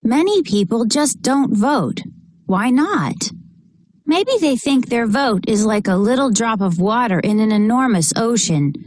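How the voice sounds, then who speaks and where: Female storybook reader, high voice with lively swings in pitch, unhurried and deliberate, expressive read-aloud for kids. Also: no room sound, clean studio sound. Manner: expressive, deliberate delivery for children. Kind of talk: reading a children's picture book aloud.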